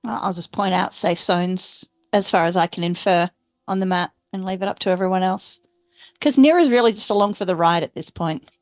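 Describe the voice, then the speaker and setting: A woman's voice: lowish voice, a little fast, dry recording. A woman playing a tabletop game, speaking casually but with precise, matter-of-fact wording. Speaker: player in a tabletop roleplaying game. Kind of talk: casual in-character discussion.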